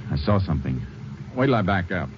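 An actor playing a calm, clipped Texas Ranger in a 1950s radio drama. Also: male voice, sits low, fast talker, very noisy. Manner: calm, clipped, matter-of-fact. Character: steady, terse male Texas Ranger. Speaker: Texas Ranger, lead and narrator. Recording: muffled old radio broadcast with music.

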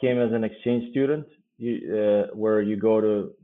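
A man in his forties from Kosovo, whose first language is Albanian, speaking as a guest in a casual podcast interview, easygoing and quick to laugh. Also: lowish voice, steady tone. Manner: easygoing, laughs often, quick bursts of speech. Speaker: guest, company founder. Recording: phone-like remote line.

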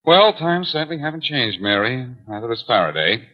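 An actor playing a cocky, wisecracking amateur detective in a glib, teasing 1940s radio drama style. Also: male voice, medium pitch, very animated, brisk. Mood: glib, breezy. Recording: muffled 1940s radio broadcast with music.

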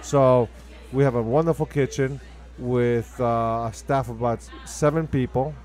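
A man's voice: medium pitch, fairly even intonation, a little slow, darkish tone. A man from Argentina with an Argentine accent and Spanish as his first language, speaking emphatically and enthusiastically as an interview guest. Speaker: guest, restaurant owner. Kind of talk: conversational interview.

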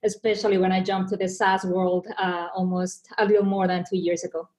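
A woman's voice: on the low side, level tone, brisk, darkish tone. Mexican-accented woman in a podcast interview. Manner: earnest, lots of restarts and repeated words. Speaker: guest, marketing executive.

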